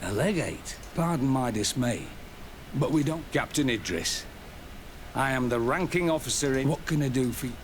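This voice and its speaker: An actor playing a weary, defensive garrison captain in a video game, speaking formally and grimly. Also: male voice, medium pitch, animated, moderate pace, a little hiss or hum, lightly acted. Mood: guarded surprise.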